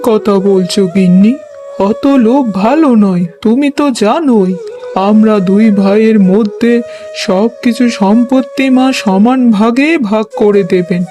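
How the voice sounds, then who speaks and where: A man's voice: high voice, a little slow, warm tone, soft background music. A man voicing a mild, yielding husband in a cartoon, earnest and placating in manner. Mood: cautioning. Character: mild, yielding adult husband and dutiful elder son. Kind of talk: cartoon voice acting.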